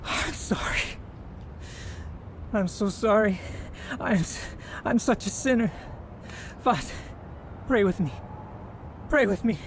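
A man's voice: sits high, animated, takes it slowly, long pauses, background noise. An actor playing an anguished, guilt-ridden man, pleading emotionally in a radio drama. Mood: remorseful, tearful.

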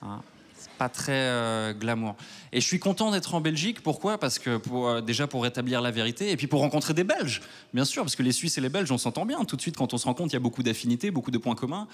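A man in his thirties from Switzerland doing a stand-up set on a large theater stage with a handheld mic. He talks in a wry, self-mocking way and works up to loud mock outrage. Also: medium pitch, lively intonation, fast talker. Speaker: stand-up comedian. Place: large theater stage before a live audience.

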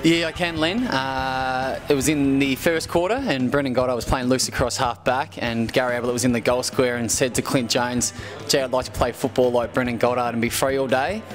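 A young Australian footballer tells a relaxed story into a handheld interview mic, with chuckling asides. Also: male voice, mid-range voice, a little fast, faint music behind. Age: young adult. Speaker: footballer guest. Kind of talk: casual anecdote.

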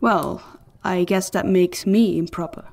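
Gracious, self-assured highborn lady. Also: a little slow, creaky, darkish tone. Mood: poised, courteous. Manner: courteous, frank. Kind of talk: fantasy audio drama acting.

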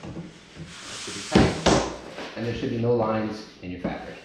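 A man's voice: fairly low pitch, fairly even intonation, moderate pace. Guy in his 30s explaining a build step by step, really echoey living room, some background noise. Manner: practical step-by-step, talks while kneeling and lifting. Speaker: DIY home channel host. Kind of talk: casual how-to instruction while working. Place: large carpeted family room at home. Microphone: camera mic from a distance.

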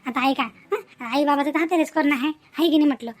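Woman acting a high-pitched, nagging young village wife. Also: fairly quick, lightly acted. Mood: insistent persuading. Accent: rural Vidarbha Marathi. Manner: scolding, repetitive, rhetorical questions. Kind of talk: Marathi cartoon family-drama dialogue.

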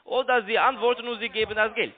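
A man with a foreign accent in German, arguing on a phone call in halting, repetitive broken grammar. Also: extremely high pitch, steady tone. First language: not German. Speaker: phone scam caller. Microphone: telephone. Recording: tinny narrow phone line.

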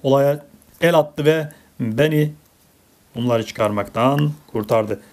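A woman's voice: extremely low pitch, takes it slowly, a little mellow. A woman narrating a casual toy unboxing for children, as a parent chatting with a child indoors at a table.

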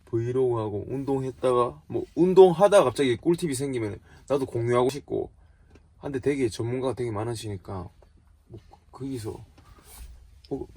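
A young South Korean man in his twenties speaks with a regional dialect in a slightly rough, husky voice. He sits at an average pitch for a man. He chats casually and jokingly, putting himself down a little as he answers questions for his vlog. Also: moderate pace, warm tone, no room sound. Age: 20s. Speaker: vlogger answering viewer questions.

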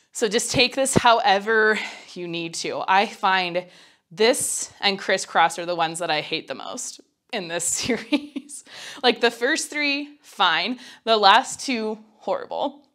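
A woman's voice: mid-range voice, fairly bright tone. A young woman in her twenties with a nasal, cold-stuffed voice, coaching a mat pilates workout while doing it herself, a little breathless, chatty and encouraging as she counts down. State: getting over a cold, slightly breathless from exercise. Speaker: pilates instructor. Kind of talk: guided workout instruction. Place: small home studio, indoors.

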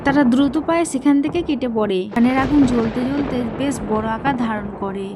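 A woman's voice: high voice, quick pace, noisy background. A woman narrating a scripted cartoon tale as its storyteller.

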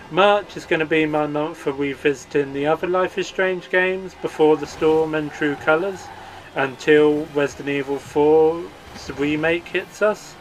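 A man's voice: on the high side, low-quality recording. A man hosting a gaming channel, giving an earnest, upbeat channel update read as a voice-over.

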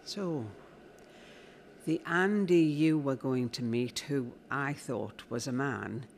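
A woman playing a warm, fussing, teasing middle-aged mam in a staged audio play. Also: rumbling low, slow pace, slight background noise, exaggerated voice. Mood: caring, brisk. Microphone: handheld-type vocal mic on stand.

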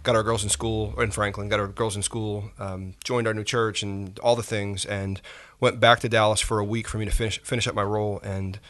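A man in his forties with a lowish voice speaks gently and haltingly. He pauses often with "um" and "uh" as he tells his story. This is a calm, reflective interview conversation. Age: 40s. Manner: soft-spoken, halting with frequent fillers. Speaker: pastor and podcast guest.

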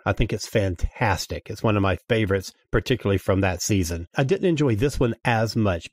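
Lower-voiced man, podcast guest. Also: a little mellow, no echo, studio quality. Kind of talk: casual podcast discussion.

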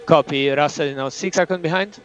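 A man's voice: on the high side, a little fast. A man working as a race engineer, giving clipped instructions and countdowns to a driver over team radio. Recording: dull noisy motorsport radio link.

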